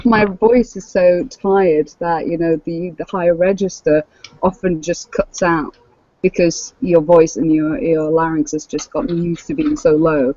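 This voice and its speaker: A woman's voice: lowish voice, steady tone, a little slow, dark tone, no room sound. A woman co-hosting a casual podcast chat, explaining practical tips. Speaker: cosplayer and podcast co-host. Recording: dull lo-fi dry audio.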